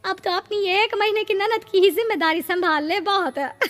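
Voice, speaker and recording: A woman's voice: extremely high pitch, fairly even intonation, normal speed, a bit bright, pitched above the performer's own voice. A woman voicing a nosy neighbour who meddles and teases mockingly in a family comedy story. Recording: long reverb, music behind.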